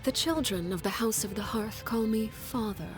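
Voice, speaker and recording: An actress playing a poised, commanding video game villainess who speaks formally with calm, measured courtesy. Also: female voice, a little high, lightly acted. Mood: cool, composed. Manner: formal, measured, coolly polite. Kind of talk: scripted video game character acting. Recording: game audio with music behind.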